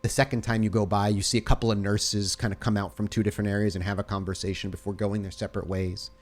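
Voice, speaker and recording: A man's voice: fairly low pitch, level tone, fast talker. Male game master narrating a scene. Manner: descriptive, scene-setting. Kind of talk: improvised tabletop game narration.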